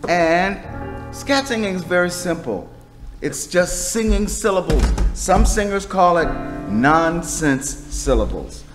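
A man's voice: high voice, animated, slow pace. Middle aged guy teaching kids singing, encouraging, music playing behind. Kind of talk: friendly singing tutorial for children. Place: stage with curtain, indoors.

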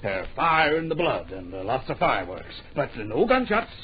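An actor in a radio drama playing an absent-minded, blustering book publisher who mutters, dithers and repeats himself. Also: male voice, mid-range voice, very animated, moderate pace, lightly acted. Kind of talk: old radio drama acting. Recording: dull, hissy old radio recording.

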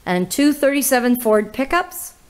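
A woman, hosting a casual auction walkthrough in an old barn full of cars, listing items with little "uh" pauses. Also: sits high, sing-song, a little mellow. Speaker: auctioneer host giving a car walkthrough. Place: inside old barns and sheds full of cars.

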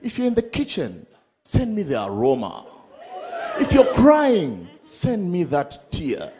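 Loud high-voiced Ugandan comedian on stage. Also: male voice, huge pitch swings, slow pace. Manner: loud, punchy, playful. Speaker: stand-up comedian. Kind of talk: stand-up comedy set. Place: large studio stage with live audience. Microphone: handheld mic. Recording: live stage sound with crowd and music. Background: audience laughter and music.

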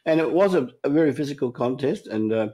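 A man's voice: mid-range voice, animated, quick pace, dark tone, husky. An Australian man talking sport in a casual chat, emphatic, with frequent restarts. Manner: emphatic, restarts often, chuckles while talking.

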